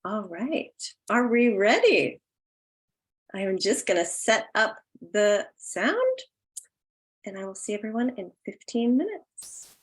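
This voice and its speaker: A woman's voice: medium pitch, sing-song, takes it slowly. A woman hosting an online event in a casual, instructional way. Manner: informal, instructional. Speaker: host.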